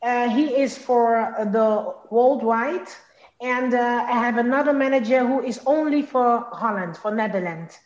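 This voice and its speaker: A woman's voice: fairly high pitch, a little slow, a little mellow, reverberant, dull audio. A young woman from Congo whose first language is Lingala, speaking in a casual interview and often repeating words as she searches for phrasing. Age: young adult. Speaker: interview guest, singer.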